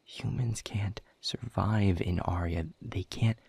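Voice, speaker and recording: Man voicing a very husky, bitter demon. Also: steady tone, a little mellow, heavily acted. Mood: grave, urgent. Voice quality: husky, breathy low voice. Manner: sardonic, emphatic, deliberate phrasing. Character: bitter, world-weary male demon warning of doom. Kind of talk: scripted audio roleplay monologue.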